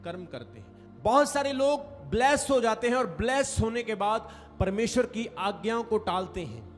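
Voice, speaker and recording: A man's voice: high-pitched, expressive, moderate pace. A man preaching a sermon to a congregation, stressing and stretching key phrases and calling for responses. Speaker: pastor. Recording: reverberant hall with faint music. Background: faint music.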